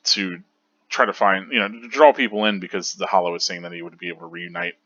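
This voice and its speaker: A man's voice: low voice, fast, pushed and bright, highs cut off. A man hosting a manga reread show, giving casual analytical commentary in an enthusiastic, rambling way with lots of fillers and restarts.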